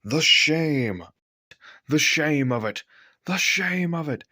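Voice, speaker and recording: A man's voice: high-pitched, expressive, a little slow, slightly rough, dry recording, clean studio sound, voice pushed up, full character voice. A man voicing a grumpy, proud big male steam engine, grumbling and puffing in a storybook reading. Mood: indignant.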